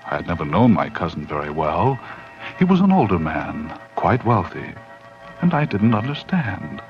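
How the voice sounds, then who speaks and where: A man's voice: low-pitched, very animated, moderate pace. An actor in a 1940s radio horror drama playing a wary man who narrates and acts out his tale dramatically. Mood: brooding. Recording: muffled 1940s radio recording, music behind.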